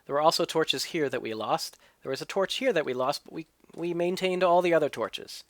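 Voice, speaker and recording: A man's voice: a little high, lively intonation, fairly quick, a bit bright, no room sound. A man hosting a let's play, explaining what he builds and tests as he goes, his voice a little stuffy from a cold. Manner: explanatory, thinking aloud. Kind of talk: gameplay commentary and tutorial.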